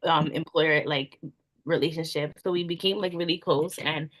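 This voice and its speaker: A young woman talks through her experiences in a relaxed, conversational way. Her voice sits slightly low, and she leans on "like" as a filler. She sounds unhurried and sincere. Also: rough recording. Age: young adult. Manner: soft-spoken, frequent "like" fillers. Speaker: event planner guest. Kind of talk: casual interview answers.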